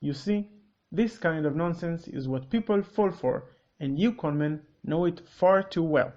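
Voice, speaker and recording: A man's voice: fairly high pitch, a little slow, a little mellow, highs cut off. A man whose first language is Hebrew, hosting a commentary video with curt, corrective, matter-of-fact scripted narration. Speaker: commentary host.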